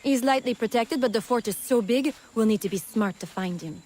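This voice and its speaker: A woman's voice: on the high side, fairly quick, a little mellow, slight background noise, lightly acted. A woman playing a bold, sardonic female smuggler and scout in a video game, confident and playfully wry. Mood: brisk, resolute. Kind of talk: video game character acting.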